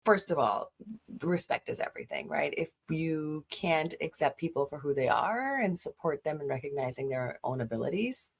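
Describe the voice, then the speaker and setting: A woman's voice: low-pitched, animated, normal speed, vocal fry, slightly rough. A woman in her forties from Pakistan, an education director, answering interview questions earnestly with frequent fillers.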